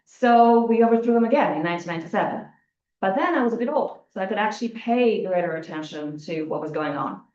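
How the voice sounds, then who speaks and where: A woman's voice: medium pitch, expressive, quick pace, a little mellow. A woman in her forties who grew up in Albania, giving a conversational conference talk with frequent restarts. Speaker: academic panel speaker. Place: lecture room. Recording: echoey room, muffled lo-fi sound.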